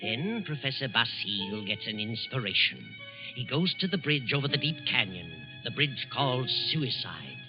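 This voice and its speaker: An American man narrates old-time radio suspense in an ominous, theatrical way. His pitch is medium and his delivery measured. Loud music plays behind the muffled recording. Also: wildly swooping pitch. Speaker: host and narrator. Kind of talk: 1940s radio scripted narration.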